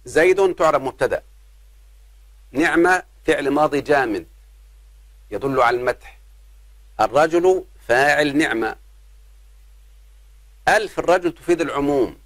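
A man, teaching an Arabic grammar lesson. He talks patiently, addresses his students as his children and slows down on examples. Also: fairly high pitch, animated, fairly bright tone, no room sound. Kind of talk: grammar lesson explaining verse.

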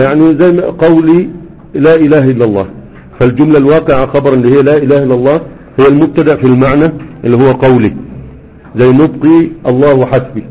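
A man's voice: mid-range voice, breathy edge. A man with an Egyptian Arabic accent giving a grammar lesson, explaining over and over and quizzing his students with rhetorical questions. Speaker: grammar teacher. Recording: tinny phone-like audio.

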